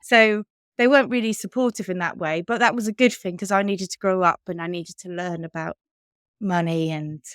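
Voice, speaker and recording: A woman in her thirties with an English accent talks candidly on a podcast, laughing now and then. Her voice is smooth and clean. The sound is dry and close. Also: lowish voice, fairly quick, no room sound. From Switzerland. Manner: chatty, candid, laughs often. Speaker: podcast co-host. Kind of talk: casual chat.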